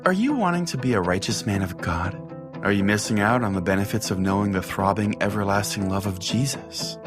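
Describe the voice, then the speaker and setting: A man's voice: on the low side, brisk, over music, natural voice. A man playing a crude, oblivious small-town pastor who hosts a radio call-in show, folksy and theatrically earnest. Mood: earnest pitchman. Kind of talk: comedic mock-radio sermon and host banter.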